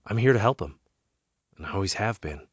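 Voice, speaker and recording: Audio drama part for a man: hopeful, principled statesman with a lowish voice, measured and sincere, recorded dry. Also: animated, husky, dry recording, dull audio. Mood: earnest hope. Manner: measured, earnest. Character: principled, hopeful, earnest male politician.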